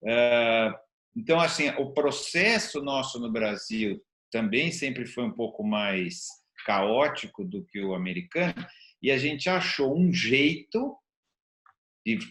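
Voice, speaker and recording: A Brazilian man interviewing conversationally, thinking aloud in a halting, reflective manner. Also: medium pitch, expressive, a bit bright. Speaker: interviewer with an advertising background. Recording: roomy, echoing sound.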